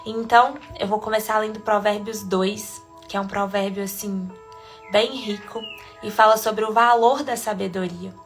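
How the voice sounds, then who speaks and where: A woman with a Brazilian accent and a congested cold leads a casual live devotional, chatty with soft laughs between phrases. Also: mid-range voice, fairly even intonation, normal speed, soft background music. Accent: Brazilian Portuguese. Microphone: phone or webcam livestream.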